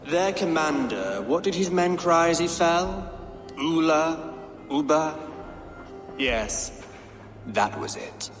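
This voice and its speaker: A man's voice: fairly high pitch, animated, slow, long pauses, roomy sound, big-room sound, soft background music. A calculating, coolly menacing king. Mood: cold. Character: calculating, composed Saxon king.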